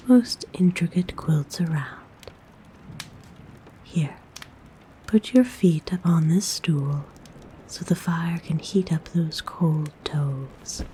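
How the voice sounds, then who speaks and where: A woman playing a kind, motherly forest witch in a soft, breathy, gentle whisper for an ASMR roleplay. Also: fairly low pitch, a little hiss or hum, rough recording. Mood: soothing, tender. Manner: gentle, soothing, coaxing, unhurried. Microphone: close microphone.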